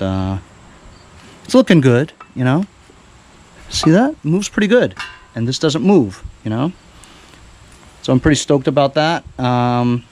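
A man chatting casually in a laid-back, rambling, slangy way as he shows his repair work in a garage. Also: medium pitch. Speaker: DIY small-engine repair vlogger. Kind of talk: casual chat while showing repair work. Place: cluttered home garage with open door.

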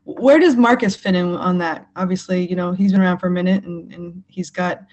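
A woman's voice: fairly low pitch, a little fast, a little mellow. A woman reporter asking a rambling, hesitant question over a video call. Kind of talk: press conference question. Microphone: remote video call.

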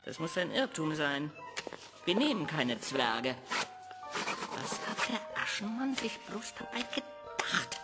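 Audio story role: pompous, impatient dwarf doctor, very lively pitch, drawn-out delivery. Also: female voice, over loud music, heavily acted. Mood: scornful. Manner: pompous, muttering, exclaiming. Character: fussy, officious pumpkin-sized dwarf doctor, scornful and impatient.

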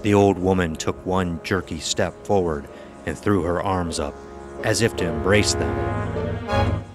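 A man's voice: sits low, takes it slowly. A man reading a scripted horror story as its narrator. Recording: music behind the voice. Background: music.

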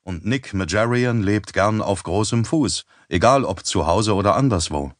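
A man reading an audiobook as narrator in a measured, even storytelling manner on a close studio mic. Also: lowish voice, slight background noise. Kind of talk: scripted narration.

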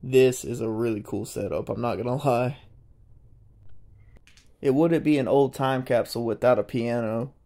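A young man, narrating a casual, excited walkthrough of an abandoned house. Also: mid-range voice, normal speed, pauses often. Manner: excited, marveling, chatty. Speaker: urban explorer vlogger. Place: inside an abandoned old house.

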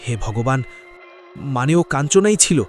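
A man playing an earnest young man who warns and explains gravely in a cartoon drama. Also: mid-range voice, animated, moderate pace, soft background music, close to an everyday voice. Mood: earnest concerned. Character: earnest, urgent young man warning his friend.

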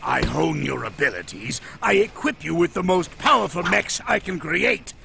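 Gravelly, bombastic mad scientist villain. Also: male voice, on the high side, sing-song, normal speed, slight background noise, exaggerated voice. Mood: bitter scolding. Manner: theatrical, scolding delivery. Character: pompous, bombastic middle-aged male mad scientist villain. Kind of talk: animated game cutscene acting. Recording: loud music behind the dialogue.

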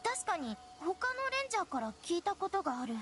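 Teenage forest ranger girl for an anime game: earnest, youthful, very high, highly animated. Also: fast. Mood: helpful. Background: game music.

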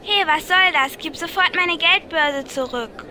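Snobbish heiress for radio play, played by a woman: high, indignant female voice over crowd murmur. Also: unhurried. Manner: snobbish, accusing. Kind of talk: audio drama acting.

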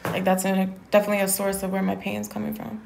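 A woman's voice: mid-range voice, little pitch movement, fast, creaky, a little mellow. A woman in her twenties chatting casually as a patient, answering questions about how she feels. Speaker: patient, social media influencer. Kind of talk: casual chat during treatment. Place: small indoor treatment room, lying or seated. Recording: echoey room, faint background noise.

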